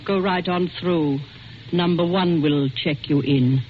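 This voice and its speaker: A man's voice: a little high, takes it slowly, narrow, tinny audio. An actor playing a curt, guarded male doorkeeper of a secret society in 1940s radio style. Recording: very thin, noisy 1940s radio recording.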